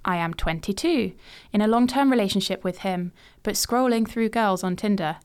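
Clear-voiced woman reading her own story. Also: mid-range voice, darkish tone. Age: 20s. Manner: measured, reflective storytelling in present tense. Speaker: podcast host. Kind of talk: reading a personal story aloud.

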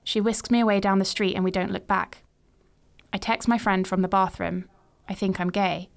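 A woman in her late twenties reads her own story in the present tense, with a smooth, clear voice. Her telling is measured and reflective, and her pitch sits in the middle range. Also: flat delivery. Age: 20s. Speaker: podcast host. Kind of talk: reading a personal story aloud.

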